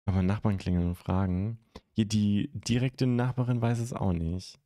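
A young man from Germany hosting a live stream, chatting casually and haltingly as he answers viewer comments into a close microphone. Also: fairly low pitch, fairly even intonation, warm tone, no echo. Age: young adult. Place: indoor streaming room at home. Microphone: close microphone on a stand.

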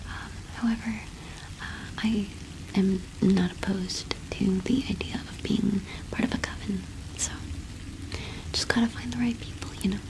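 A woman in her twenties doing an ASMR roleplay as a cottage witch shopkeeper, speaking softly and unhurriedly with hesitant pauses, close to the microphone. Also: mid-range voice, fairly even intonation, rough recording. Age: 20s. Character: friendly solitary cottage witch keeping a small shop.

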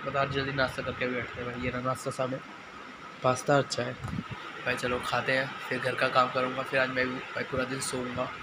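A hoarse teenage boy with a sore throat, chatting casually to his viewers in a home vlog filmed on a phone held at arm's length. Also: medium pitch, completely flat delivery, a little fast, heavy background noise. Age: teens. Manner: chatty, filler-heavy, addresses viewers as "bhai" and "guys". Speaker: vlogger. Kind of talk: casual day-in-the-life vlog. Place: small home rooms indoors. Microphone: phone camera held at arm's length.

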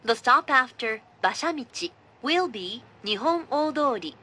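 A woman delivering a formal, scripted train announcement, measured and clearly enunciated. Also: sits high, expressive, sharp tone, slight background noise. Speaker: English-language train announcer.

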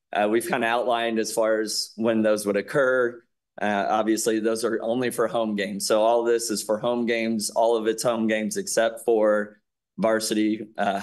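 A man reporting on an athletics contract at a school board meeting, speaking haltingly with many fillers. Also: on the low side.

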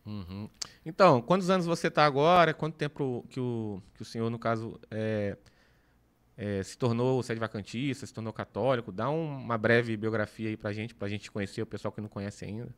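A man's voice: medium pitch, brisk. A man hosts a podcast, asking chatty, stammering questions. He speaks into a studio microphone in a small studio. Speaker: podcast host. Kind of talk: casual interview questions. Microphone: studio microphone with headphones.

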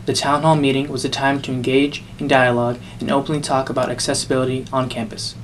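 A man's voice: medium pitch, little pitch movement, quick pace, a little hiss or hum. A man reading scripted news narration as a reporter.

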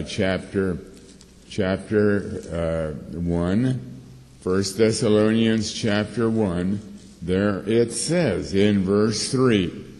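Slow, deep-voiced elderly man preaching. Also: dark tone. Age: older. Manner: slow, deliberate, reads scripture aloud with pauses. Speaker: Bible teacher. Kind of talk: Bible study sermon. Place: church hall with red curtain, at a lectern. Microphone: lectern microphone. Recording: reverberant hall.

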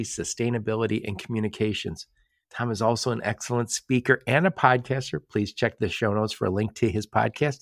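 A man's voice: on the low side, no echo, clean studio sound. A man hosting a podcast, reading an upbeat intro and then interviewing with enthusiasm. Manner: warm enthusiastic delivery, slow emphatic intro. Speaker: podcast host.

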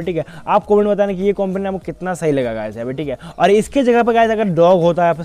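A man's voice: sits high, darkish tone. A young man giving casual, chatty game update commentary as a YouTuber, often repeating the same verbal tags. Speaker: gaming YouTuber.

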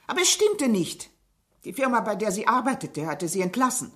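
A woman's voice: on the high side, big pitch swings, fairly quick, a bit bright. An actress playing a distressed middle-aged mother under questioning in a radio drama, answering defensively and with agitation. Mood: upset, confiding. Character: distressed, defensive mother of a murdered grown daughter.

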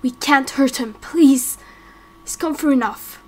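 Woman as an anxious young adventurer, high voice. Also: a little slow, darkish tone. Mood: pleading. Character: earnest, anxious young adventurer, protective of friend. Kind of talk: amateur game roleplay voice acting.

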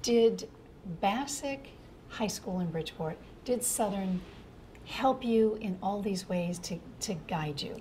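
A woman's voice: mid-range voice, expressive, takes it slowly, a little mellow, slight background noise. An older woman hosting a TV news studio interview, asking emphatic leading questions. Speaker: TV news host.

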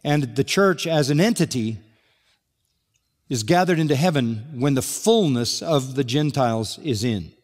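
A man's voice: mid-range voice, expressive, a little slow, a little mellow. An older man preaching an expository sermon, earnestly reading scripture aloud and stressing key points as a pastor. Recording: large hall with long reverb.

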